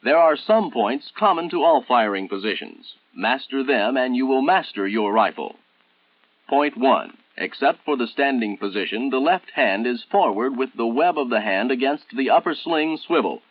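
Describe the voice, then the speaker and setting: An American man narrating a 1960s military training film in a formal, measured, instructional manner, on an old film soundtrack. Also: medium pitch, animated, no room sound, narrow, tinny audio, close to an everyday voice.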